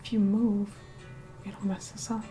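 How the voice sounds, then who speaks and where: A woman playing an aloof, vain young vampire in a soft whispered ASMR roleplay, unhurried, dry and mildly condescending. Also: level tone, lightly acted. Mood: focused, bossy. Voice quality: soft, breathy whispering mixed with low murmur. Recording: music playing behind close whispered voice.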